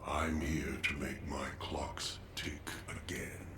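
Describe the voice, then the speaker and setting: An actor playing a solemn old master of time in a video game, speaking in a measured, oracular way. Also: male voice, rumbling low, flat delivery, full character voice. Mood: calm, foreboding. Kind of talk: scripted video game dialogue. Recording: echoing voice over noisy game ambience.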